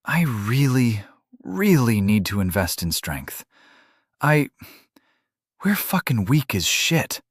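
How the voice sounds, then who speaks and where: A man's voice: mid-range voice, big pitch swings, slow, pauses often, slightly rough, dry recording, clean studio sound, full character voice. A man voicing a petite young female adventurer, blunt and profane, with sarcastic asides.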